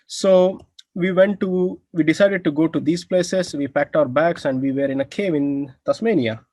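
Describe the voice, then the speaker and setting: A man's voice: fairly high pitch, moderate pace, a little mellow, no room sound. A young man from India with an Indian accent, giving a research presentation with many "uh" fillers and open enthusiasm for his findings. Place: indoors, air-conditioned room.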